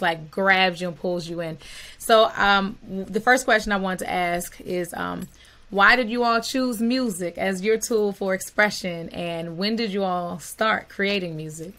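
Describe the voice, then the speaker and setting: A woman co-host sounds bright and forward as she reads the intro and asks her questions. She is effusive and excited, and her pitch stays in a natural middle range. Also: unhurried. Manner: enthusiastic, effusive. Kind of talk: interview hosting and scripted intro.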